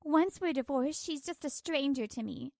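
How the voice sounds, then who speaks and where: Give me a woman playing a fed-up wife in a manga dub, high-pitched, firm and cutting, recorded dry. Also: animated, normal speed, creaky, warm tone, no echo. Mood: resolute. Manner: cutting, resolute.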